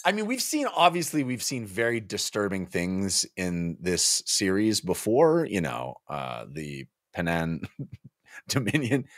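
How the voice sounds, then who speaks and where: A man's voice: low-pitched, wildly swooping pitch, unhurried, no room sound. A man in his forties co-hosting a book club podcast, talking casually and emphatically into a close mic. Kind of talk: casual animated book discussion. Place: small home office, indoors. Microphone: close podcast mic on boom arm.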